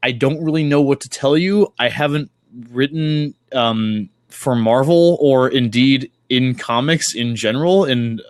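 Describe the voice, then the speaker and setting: A man hosting a casual comics chat, speaking in a halting, rambling way with frequent self-corrections and casual swearing. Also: mid-range voice, no echo, studio quality. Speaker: podcast host.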